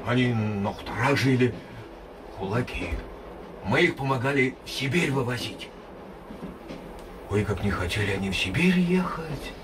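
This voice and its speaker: A man's voice: mid-range voice, lightly acted. Man voicing a boastful Soviet army veteran, lecturing, noisy train carriage. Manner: lecturing, grows heated and emphatic. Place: train compartment.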